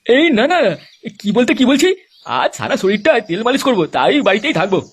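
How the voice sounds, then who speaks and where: A cantankerous old cartoon grandpa, acted out by a performer. He blusters and scolds at a breakneck pace, foul-mouthed and lazy, his pitch leaping about. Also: big pitch swings, quick pace, husky. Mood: evasive. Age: older. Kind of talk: comic cartoon dialogue.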